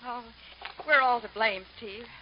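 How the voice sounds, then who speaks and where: An actress playing an editor's partner who speaks earnestly and with moral feeling, in 1940s radio acting style on an old radio broadcast. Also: female voice, fairly high pitch, a little slow, dull audio, background noise, lightly acted.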